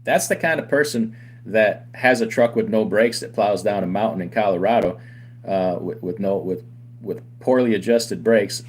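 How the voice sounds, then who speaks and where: Deep-voiced man ranting emphatically. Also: moderate pace. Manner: emphatic and argumentative, with many rhetorical questions. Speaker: owner of a trucking company and channel host. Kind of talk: opinionated commentary to camera.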